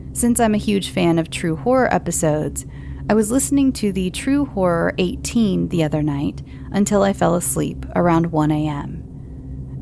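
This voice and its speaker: Low, gravelly woman reading stories. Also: noisy background. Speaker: podcast host. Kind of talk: reading listener horror stories aloud.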